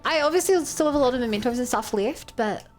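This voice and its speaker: A woman's voice: high-pitched, lively intonation, fast, soft background music. A woman with a New Zealand accent streaming a game, chatting casually, thinking aloud and answering her chat. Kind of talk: casual gameplay commentary.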